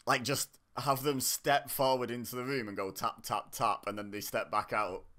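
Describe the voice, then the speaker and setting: Man from the UK, bright tone, chatty reaction host who jokes and restarts sentences. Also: mid-range voice, level tone, moderate pace. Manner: chatty, joking, laughs often, self-interrupting. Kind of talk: casual reaction commentary.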